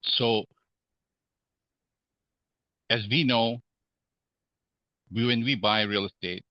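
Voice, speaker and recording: Podcast guest, man from Hong Kong, low voice, slightly dull sound. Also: no echo. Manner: measured, many fillers and restarts. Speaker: guest real estate investor. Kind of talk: podcast interview.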